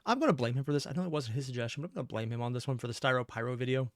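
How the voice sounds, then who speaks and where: A man's voice: medium pitch, very fast, no echo, studio quality. A man hosting a reaction video, giving casual running commentary in a musing, questioning, wry way.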